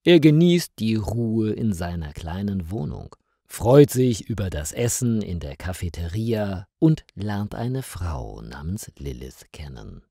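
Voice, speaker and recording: Very slow, low-voiced male story narrator. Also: very animated, creaky, warm tone, husky, no room sound, studio quality. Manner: deliberate, carefully phrased in short sentences. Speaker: narrator of stories for language learners. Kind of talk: slow, scripted audiobook narration of a mystery story.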